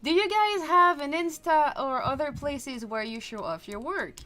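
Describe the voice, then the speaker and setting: A woman artist chatting casually on a stream, bubbly and often laughing, trailing off quietly at times. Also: high voice, expressive, a little slow. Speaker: artist and YouTuber, co-host. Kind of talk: casual chat on a livestream.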